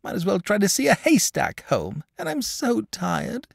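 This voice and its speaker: Small storybook boy: high-pitched, weary, sobbing, wildly swooping complaint. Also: big pitch swings, normal speed, gravelly, breathy edge, no echo, clean studio sound, full character voice. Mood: sobbing, indignant. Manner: piteous, sobbing, whining. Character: tired, tearful, aggrieved little boy. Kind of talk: storybook character voice.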